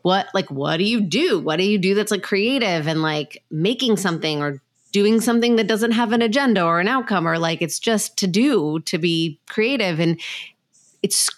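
A woman's voice: mid-range voice, animated, moderate pace. A woman hosting an interview podcast, chatty and casual, often saying "like" as she speaks. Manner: chatty, uses many "like" fillers. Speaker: podcast host and clinician.

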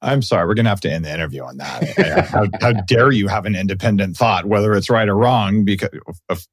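A middle-aged man hosts a casual interview in a lowish voice. The sound is dry and close, with no room echo. He talks in a jokey, enthusiastic way. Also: animated, fairly quick, breathy edge. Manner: jokey, enthusiastic, laughs while talking. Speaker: podcast host.

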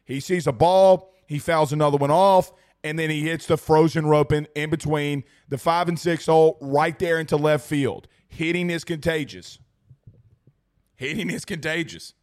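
A young man hosting a fan livestream after a big game, his voice hoarse and strained from yelling. He talks in an excitable, rambling way, repeating lines for emphasis. His voice sits a bit high for a man. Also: moderate pace. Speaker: sports talk show host. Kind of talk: excited post-game livestream chat reading super chats.

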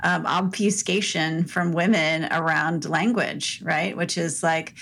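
A woman co-hosting a podcast in casual chat. Her soft voice sits a little low, and she gets to the point plainly and briskly, with a few "um"s along the way. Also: steady tone, gravelly. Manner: direct, upbeat, fillers. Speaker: podcast co-host.

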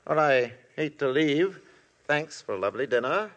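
An actor playing a polite, apologetic family friend in a 1950s radio drama. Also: male voice, a little high, lively intonation, moderate pace, a bit bright.

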